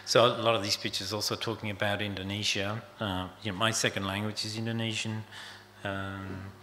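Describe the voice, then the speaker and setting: A man's voice: fairly low pitch, little pitch movement, a little fast, pushed and bright, echoey room, a little hiss or hum. An older man from Australia giving an unscripted talk about his photographs, hesitant and full of fillers and trailing phrases.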